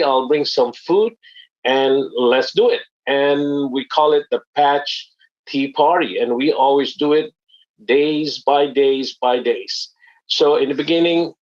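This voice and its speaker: Earnest man giving a muffled webinar talk. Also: a little high. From Indonesia. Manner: earnest, explanatory, with frequent fillers. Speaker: network marketing presenter.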